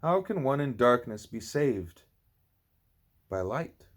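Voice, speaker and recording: A man's voice: mid-range voice, very animated, takes it slowly. A man reading a spiritual teaching aloud like an audiobook, occasionally stumbling and repeating words. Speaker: audiobook reader.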